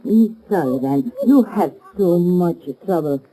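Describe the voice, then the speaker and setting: An actress playing a timid, distressed immigrant woman with an Italian accent, pleading in halting broken English in a 1930s radio drama. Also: lowish voice, animated, mellow. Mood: anxious, pleading. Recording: muffled vintage 1930s radio recording.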